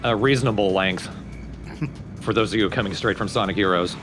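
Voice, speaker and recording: A man's voice: on the low side, normal speed. A man giving casual, explanatory commentary on a video game. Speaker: let's play commentator. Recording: game music behind the voice. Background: loud video game music.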